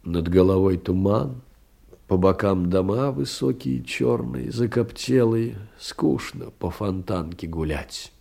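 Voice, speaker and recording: An actor playing a lonely, meek middle-aged clerk, musing tenderly in a husky, gravelly voice in a theatrical monologue. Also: male voice, on the low side, slow, warm tone, heavily acted. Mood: wistful, musing. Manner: musing, intimate, hesitant storytelling. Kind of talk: theatrical literary monologue in an audio play.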